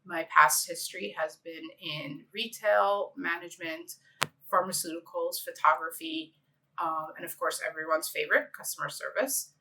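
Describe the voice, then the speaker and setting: A woman's voice: lowish voice, steady tone, normal speed, sharp tone, a little hiss or hum, poor audio. A middle-aged woman from Egypt, an interior designer presenting a conference session in a conversational way with frequent fillers.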